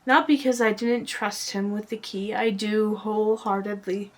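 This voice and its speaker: A woman giving a dramatic spoken-word reading as a poet, earnest and often hushed, with drawn-out phrasing and pauses. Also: medium pitch, fairly even intonation.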